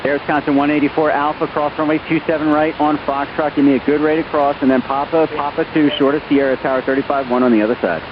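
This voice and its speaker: A man's voice: fairly high pitch, steady tone, fast, hardly any pauses, dull audio. A man working as an air traffic ground controller, giving clipped procedural taxi instructions over radio with informal touches. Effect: aviation radio transmission.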